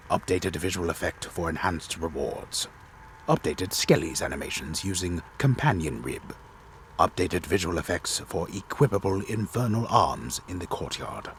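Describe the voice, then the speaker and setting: A man voicing a grave, disembodied game storyteller in a solemn, deliberate, theatrical reading. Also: deep voice, slight background noise. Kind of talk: dramatic reading of game patch notes.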